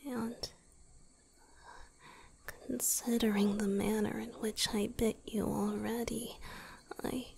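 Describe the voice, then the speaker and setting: A woman voicing a gentle, insecure young male vampire boyfriend in a whispered, hesitant romantic ASMR roleplay. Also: mid-range voice, little pitch movement. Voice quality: breathy whisper with some creak. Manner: hesitant, tender, halting.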